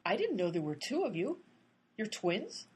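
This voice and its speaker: A woman's voice: mid-range voice, wildly swooping pitch, normal speed, a little mellow, slightly rough, full character voice. A woman playing an earnest, literal-minded amateur detective in a radio comedy, insistent and pedantic. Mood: puzzled.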